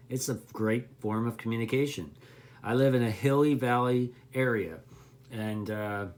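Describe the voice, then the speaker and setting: A man giving a casual, unscripted hobby explainer in a rambling, folksy way, often correcting himself as he goes. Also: fairly low pitch. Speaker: CB radio hobbyist explaining gear to beginners.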